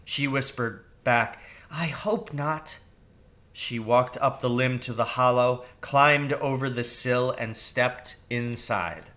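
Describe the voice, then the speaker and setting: Male narrator, storybook reading, unhurried pace, bright forceful tone, expressive, deliberate. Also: mid-range voice. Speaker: reader, teacher. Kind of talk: storybook narration read aloud.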